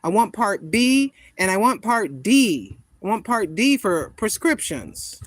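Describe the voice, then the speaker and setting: A woman's voice: mid-range voice, sing-song, slow, dry recording. Chatty woman in her sixties, dry sound. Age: 60s. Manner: talkative, suspicious, greets viewers by name. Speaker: vlogger greeting live chat. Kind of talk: casual live-stream chat.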